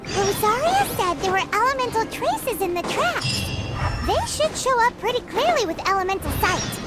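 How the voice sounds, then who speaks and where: A woman voicing a tiny, excitable fairy companion girl in a video game, chatty and quick to react. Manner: excitable, high and squeaky, talks of herself in third person. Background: game music.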